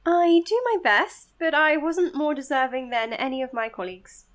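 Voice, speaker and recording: A woman playing an earnest, modest young psychiatrist in an audio drama, speaking in a measured, precise, slightly hesitant way. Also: sits high, lightly acted. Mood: polite, modest. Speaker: doctor being interviewed on a podcast. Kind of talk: audio drama acting, natural interview and voice notes.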